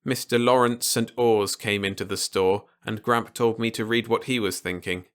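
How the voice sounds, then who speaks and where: A man's voice: fairly low pitch, fairly even intonation, moderate pace, a bit bright, clear, resonant tone. A man narrating an audiobook short story, read from a script in the simple first person of a child.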